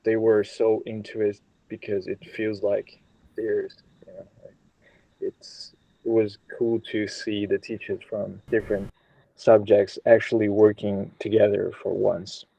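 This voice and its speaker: A man's voice: fairly low pitch, level tone, measured pace, gravelly, dark tone, no echo, poor audio. A young man from China in a class discussion, talking hesitantly with many fillers. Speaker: student discussing.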